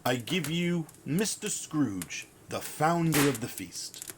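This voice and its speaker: A man playing a poor, gentle father who speaks warmly to his family. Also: on the high side, animated, takes it slowly, background noise. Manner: gentle, cheerful.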